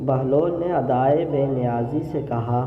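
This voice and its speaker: Slow-paced male storyteller, thin echoey sound. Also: mid-range voice. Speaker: narrator. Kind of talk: scripted religious story narration. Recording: roomy echo, phone-like narrow sound.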